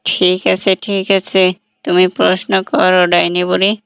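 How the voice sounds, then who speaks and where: A man's voice: high voice, completely flat delivery, normal speed, creaky, fairly bright tone, clean tone, dry recording. A man voicing a humble village man in a cartoon, with a pleading, folksy way of talking. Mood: eager.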